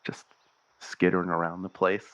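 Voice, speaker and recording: A man playing an oddball married caller, easily smitten, with a creaky voice, in a radio comedy. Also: deep voice, completely flat delivery, moderate pace, fairly bright tone, no room sound, muffled recording. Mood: dreamy, smitten. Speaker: caller to a radio advice show.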